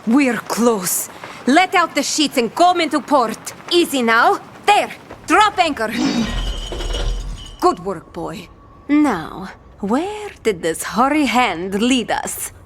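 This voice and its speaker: A woman's voice: fairly high pitch, sing-song, takes it slowly, fairly bright tone, slight background noise, heavily acted. A woman playing a brash, fame-hungry pirate captain who talks in theatrical old-time pirate speak in a scripted audio adventure. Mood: eager, commanding. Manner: theatrical, mock-archaic pirate talk.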